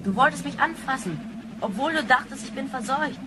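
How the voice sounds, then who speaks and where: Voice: sits high, lively intonation, brisk, background noise. A young performer playing a cheeky grandchild from the future in a science-fiction radio play, slangy and scornful. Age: child or young teenager. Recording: music behind the voice.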